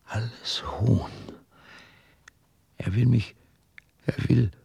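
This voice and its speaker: An actor in a radio drama playing a tormented, delirious public prosecutor, muttering haltingly and obsessively in fear. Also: male voice, mid-range voice, steady tone, creaky, mellow, breathy edge, no echo, full character voice. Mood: haunted, exhausted. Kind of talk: German radio drama acting.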